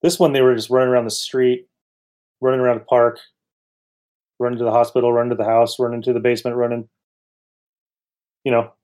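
A man's voice: medium pitch, level tone, fairly quick, pauses often, darkish tone. A man co-hosting a casual chat show, with a dry, joking way of talking. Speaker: podcast co-host. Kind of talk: casual chat reviewing old radio serials.

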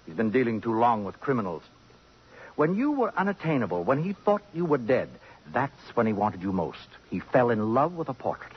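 Radio drama: possessive, acid-tongued sophisticate, very animated delivery, dull vintage broadcast sound. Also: male voice, medium pitch, normal speed, breathy edge. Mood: disdainful. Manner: pompous, cutting, theatrical. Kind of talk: 1950s radio drama acting. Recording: dull lo-fi 1950s radio recording.

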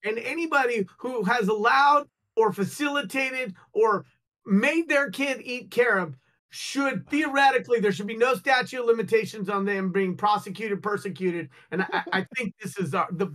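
A man's voice: sits high, normal speed, bright, forceful tone. A man co-hosting a casual chat, joking and emphatic, with many repeated words.

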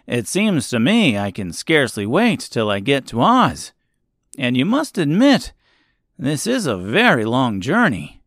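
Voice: mid-range voice, wildly swooping pitch, measured pace, a bit bright, dry recording, clean studio sound, exaggerated voice. A storyteller voicing a mournful, plaintive male tin man in a children's storybook. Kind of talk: storybook character voice.

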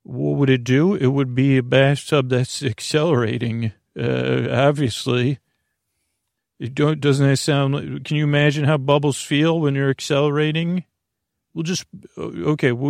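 A man with a gravelly voice hosting a bedtime podcast, talking in a halting, meandering monologue that keeps wandering into tangents. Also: mid-range voice, no echo, studio quality. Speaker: podcast host.

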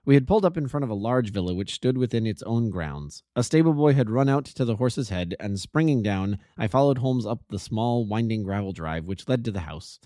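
Expressive male storyteller, lively pitch. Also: brisk, few pauses, no echo, studio quality. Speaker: amateur audiobook narrator. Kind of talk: classic novel reading.

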